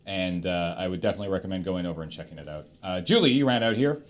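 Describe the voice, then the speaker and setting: A man's voice: low voice, very fast. A man with a Canadian accent, casually and enthusiastically giving recommendations as a panelist on a livestream.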